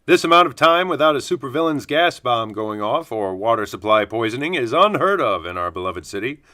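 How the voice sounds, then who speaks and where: A man's voice: mid-range voice, sing-song, a little fast, sharp tone. A man playing a chipper morning news anchor with a polished, upbeat broadcast delivery in a parody newscast.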